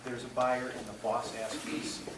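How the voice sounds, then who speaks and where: Radio drama husband, played by a woman: deep, dismissive, soothing, brisk, recorded off-mic with clear noise. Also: flat delivery. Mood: firm reassurance. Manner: brisk, patronizing, reassuring. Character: breezy, dismissive husband soothing his timid wife. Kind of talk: old-time radio play acting. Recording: roomy, distant mic, noticeable noise.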